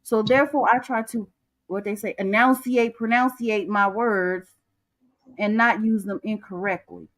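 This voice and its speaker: A woman's voice: mid-range voice, fairly even intonation, a little slow, a bit bright, dry recording, poor audio. Lady with a lisp chatting, rambly, repeats herself starting sentences. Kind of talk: casual chat.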